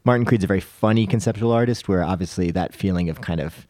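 Low, mellow-voiced man, dry recording. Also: quick pace, no echo. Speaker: podcast host. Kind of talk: casual conversational discussion.

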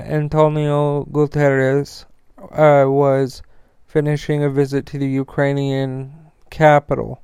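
A man hosting a podcast, reading news and sponsor messages informally, with a stutter and a halting, stumbling delivery. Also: fairly high pitch, darkish tone, clean tone, no room sound, clean studio sound. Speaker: podcast host.

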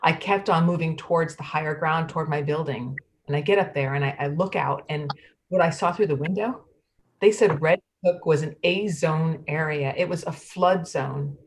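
Low-voiced woman telling a storm story. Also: moderate pace, slight background noise. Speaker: reader performing a monologue. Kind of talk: readers theatre monologue.

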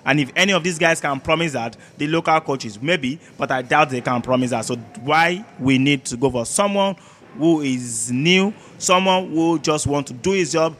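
Male sports panelist, Nigerian accent, fairly high pitch, emphatic and argumentative debater. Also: moderate pace, fairly bright tone, a little hiss or hum. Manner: argumentative, emphatic, repeats words, rhetorical questions.

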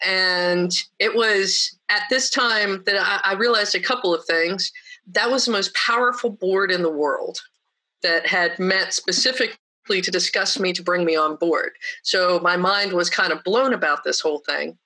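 A woman with a somewhat deep voice tells her story as a podcast guest. Her tone is bright and forceful. She is lively and anecdotal, and she mimics the people she quotes. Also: normal speed, poor audio. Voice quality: deeper voice.

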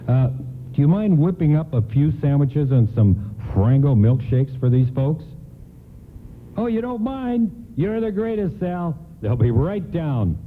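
A man's voice: fairly high pitch, big pitch swings, unhurried. A man acting a kind, folksy department store doorman in a radio play, earnest and reassuring. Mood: friendly, eager. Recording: lo-fi, faintly noisy radio broadcast.

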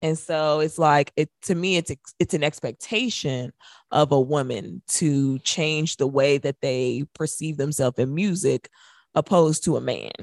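An American woman, roughly in her thirties, co-hosting a radio show with casual talk about music. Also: sits low, unhurried, no room sound, clean studio sound.

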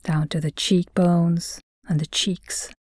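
A woman gently narrating a guided mindfulness meditation, soothing and measured, her soft voice trailing into breathiness with long pauses between phrases. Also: fairly low pitch, little pitch movement, dry recording, studio quality.

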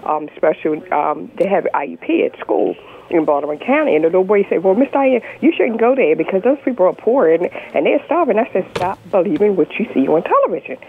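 A woman's voice: mid-range voice, very animated, a little fast. A middle-aged woman with an American accent, talking conversationally as a caller on a telephone. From United States. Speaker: caller, works with schoolchildren. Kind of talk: conversational call-in remarks. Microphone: telephone call. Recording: muffled, noisy phone line.

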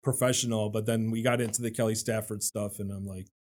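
A man in his forties chatting as a studio guest, loud and animated, sitting back from the microphone. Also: on the low side, fast. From Metro Detroit area. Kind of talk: casual chat. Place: radio studio. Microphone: sitting far back from the studio mic.

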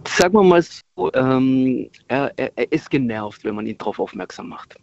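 A man's voice: mid-range voice, expressive, normal speed, a little mellow, no echo. A middle-aged man, a native Italian speaker raised in Germany, calls in to a radio show and talks hesitantly with many fillers and restarts. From Italy, moved to Germany at six. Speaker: radio caller. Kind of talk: casual phone-in chat. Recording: muffled phone-in call on speakerphone.

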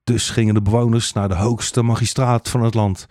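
A man's voice: on the low side, level tone, normal speed, darkish tone, no room sound, studio quality. A man narrating a satirical fairy-tale radio play as a storyteller.

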